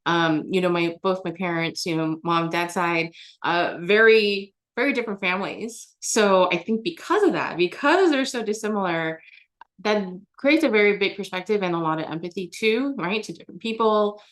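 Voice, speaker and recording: A woman's voice: mid-range voice, normal speed. A young adult woman from Germany, a guest on an interview show, answering in a chatty way with many fillers and restarts. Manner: chatty, frequent fillers and restarts, laughs often.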